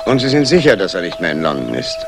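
An actor playing a polite, probing Scotland Yard inspector in a 1960s German crime radio play. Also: male voice, sits low, very animated, fast talker, over loud music. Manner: polite, questioning.

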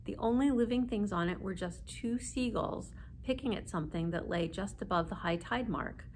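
A woman's voice: mid-range voice, moderate pace. Woman reading a children's story, noisy room. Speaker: librarian reading aloud. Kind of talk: expressive children's story narration. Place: indoor room, seated.

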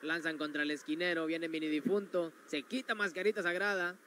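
A man's voice: a little high, fairly even intonation, a little fast, bright, forceful tone, slight background noise. A man calling wrestling moves play by play as they happen, in a gym arena. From Mexico. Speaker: wrestling commentator. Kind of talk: play-by-play commentary.

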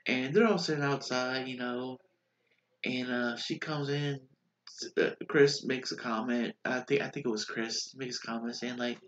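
A man's voice: mid-range voice, steady tone, moderate pace, a bit bright, highs cut off, slight background noise. A man in his thirties with a stuffy, congested nose, chatting casually through a rambling recap in a small bedroom on a webcam, with lots of fillers and restarts. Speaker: vlogger recapping a reality show. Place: small bedroom with posters on the wall. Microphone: webcam at desk.

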